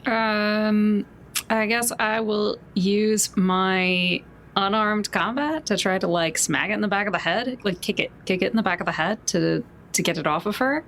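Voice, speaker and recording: Female tabletop player with a gravelly fry, casual and wry, faint room noise behind her. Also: medium pitch, measured pace, fairly bright tone. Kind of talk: casual game-table chat.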